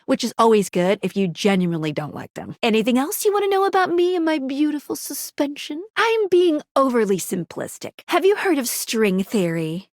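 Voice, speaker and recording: A chatty, upbeat sentient gadget guiding the player through a video game. The voice sits high and swings around in lively fashion, playful and nerdy as it explains things. It is a dry, close studio sound. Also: female voice, no echo. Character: chatty quirky female sentient object guide, upbeat and nerdy. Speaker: game guide character. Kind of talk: scripted video game character dialogue.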